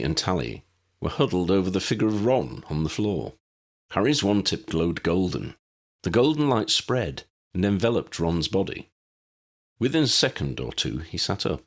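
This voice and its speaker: Deep-voiced, husky man narrating a story. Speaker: audiobook narrator reading fan fiction.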